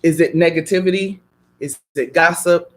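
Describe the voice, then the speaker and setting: A man's voice: a little high, moderate pace, a bit bright. A man on a radio talk show, speaking in a preacherly way and building his points with strings of repeated questions. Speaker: guest.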